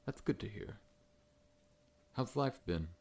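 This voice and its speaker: A man playing a large, friendly scorpion monster dentist in an ASMR roleplay, talking in a gentle, reassuring, professional way. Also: fairly low pitch, expressive, slow, gravelly, full character voice. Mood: polite, welcoming.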